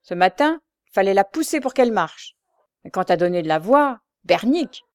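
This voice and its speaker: A woman voicing a harsh, coarse old beggar mother from a story, shrill and snarling in her lower-class speech. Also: very animated, normal speed, dry recording, studio quality, lightly acted. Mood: peevish. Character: shrewish old beggar mother, toothless, harsh, brandy-soaked.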